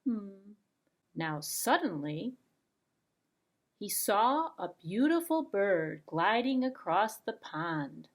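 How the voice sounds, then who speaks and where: A woman narrating a children's storybook puppet show in an expressive, deliberate way with playful sound words. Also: medium pitch.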